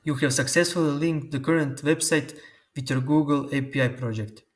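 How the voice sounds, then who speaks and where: Man explaining software steps. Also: a little high, steady tone. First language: Croatian. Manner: slow, step-by-step instructions. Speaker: tutorial host. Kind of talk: screen-recorded software tutorial.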